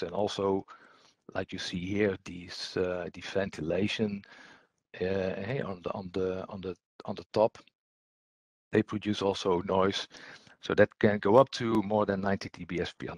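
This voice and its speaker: A man giving an explanatory technical presentation as a webinar trainer, speaking in a hesitant, halting way. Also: deep voice, no room sound.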